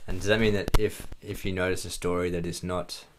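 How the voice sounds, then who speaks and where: A man's voice: sits low, flat delivery, fairly quick, background noise. A man chatting informally on a podcast, explaining his thoughts tentatively and in fragments. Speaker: podcast speaker. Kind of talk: casual chat.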